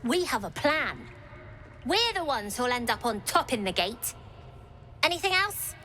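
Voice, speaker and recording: A woman's voice: on the high side, big pitch swings, a little slow, bright, forceful tone, slight background noise, full character voice. Cocky streetwise girl thief. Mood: defiant. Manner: brash, businesslike, defiant. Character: cocky, streetwise young girl, leader of child thieves. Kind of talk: video game dialogue acting.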